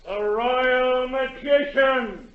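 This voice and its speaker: An actor playing a royal herald calling out drawn-out announcements in a 1940s radio drama. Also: male voice, extremely high pitch, exaggerated voice. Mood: proclaiming. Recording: tinny, echoey 1940 radio recording with music.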